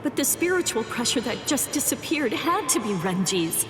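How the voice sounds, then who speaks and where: A woman voicing an earnest, distraught young captive warrior in an anime game dub, halting and intense. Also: sits high, sing-song. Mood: anguished. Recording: echoey hall reverb, music behind.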